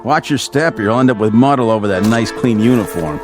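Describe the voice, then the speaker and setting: Man as wry patrol cop, lively intonation. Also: lowish voice, fairly quick, music in the background, close to an everyday voice. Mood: casual, practical. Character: wry, practical male police patrol officer on a night call. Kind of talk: naturalistic radio drama acting.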